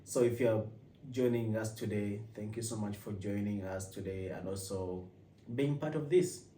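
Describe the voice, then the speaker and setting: A man's voice: on the low side, normal speed, warm tone, slight background noise. A man from Uganda with a Ugandan accent, talking casually to his viewers and often restarting his sentences. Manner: rambling with frequent restarts. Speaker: vlogger host. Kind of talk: casual personal vlog. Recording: echoey room, mic at a distance.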